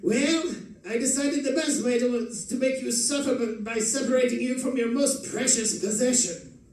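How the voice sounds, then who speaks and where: A man's voice: very high-pitched, moderate pace, a little mellow. A man playing a suave, mocking escaped-convict villain in an old-time radio crime drama, silky and taunting. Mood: gloating. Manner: silky, taunting, mock-courteous, chuckling. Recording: echoey live stage, distant mic, faint noise.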